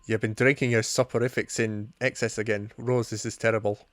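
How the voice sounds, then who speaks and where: A man's voice: fairly low pitch, flat delivery, a little fast, dry recording, natural voice. A man voicing a prim alien woman with a Scottish accent, formal, fussy and wordy. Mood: scolding.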